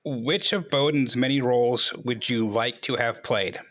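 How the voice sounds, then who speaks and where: A man's voice: medium pitch, a little slow, fairly bright tone. Male interviewer, casual and conversational. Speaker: host interviewer.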